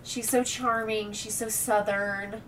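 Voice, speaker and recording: A woman's voice: mid-range voice, fairly even intonation, slow, a bit bright. A woman co-hosting a podcast in casual, irreverent, freely profane banter. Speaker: podcast co-host. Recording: distant mic, noisy background.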